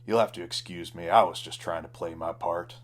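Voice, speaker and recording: A man voices a hard-bitten cowboy lawman from a Western tale. The voice is low and drawling, with folksy, slow talk from a tough bounty hunter. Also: fairly even intonation. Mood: softened, friendly.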